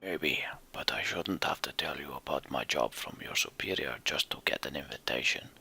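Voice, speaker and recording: Male performer as menacing giant sellsword leader for fantasy game; hard forceful edge, rough husky growl, terse and distrustful. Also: rumbling low, normal speed, whispered, pitched below the performer's own voice, full character voice. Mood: stern, mistrustful. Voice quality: gravelly, husky. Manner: curt, threatening. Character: gruff, menacing, mistrustful giant horned mercenary leader.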